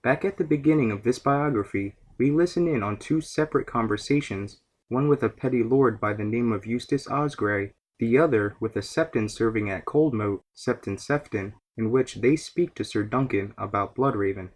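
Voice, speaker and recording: A man's voice: mid-range voice, normal speed, few pauses. A man hosting a podcast, giving scripted, explanatory narration about fantasy lore. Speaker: podcast host.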